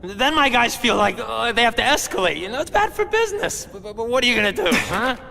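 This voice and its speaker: An actor playing a shifty, nervous gang informant who stammers and keeps asking "huh?" in a game cutscene. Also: male voice, extremely high pitch, very animated, fast talker, creaky, bright, forceful tone, long reverb tail, slight background noise, exaggerated voice. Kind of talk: video game cutscene acting.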